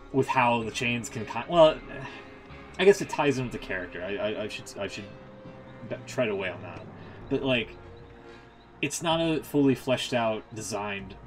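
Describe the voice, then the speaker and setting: A man's voice: mid-range voice, unhurried, long pauses, faint music behind, low-quality recording. A man hosting a game stream with casual, opinionated, rambling commentary and some swearing.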